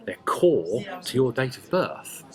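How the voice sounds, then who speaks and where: A man explaining a tarot and numerology reading one-to-one, speaking deliberately and emphatically with pauses. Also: medium pitch, sing-song, crowd noise.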